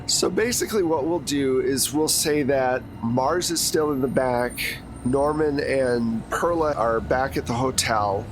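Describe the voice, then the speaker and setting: A man's voice: mid-range voice, measured pace. A man running a tabletop game as game master, narrating casually and loosely. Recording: noisy background hiss.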